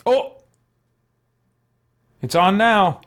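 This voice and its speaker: Animated male gamer, unhurried and higher-pitched. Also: slight background noise. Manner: thinks aloud with mild exclamations. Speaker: gaming channel host and player. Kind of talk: casual roleplay let's play commentary. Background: game music.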